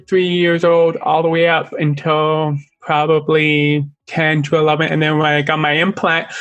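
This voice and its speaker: A young man with the accent of a deaf speaker, an artist talking earnestly and openly as an interview guest, sometimes halting over a word. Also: fairly high pitch, fairly bright tone, no room sound. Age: young adult. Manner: earnest, open, at times halting speech. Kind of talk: casual interview conversation.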